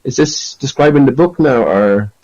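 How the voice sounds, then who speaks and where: A man's voice: mid-range voice, normal speed, a little mellow. Man chatting in dull lo-fi audio. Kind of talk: casual chat, reading aloud and commenting.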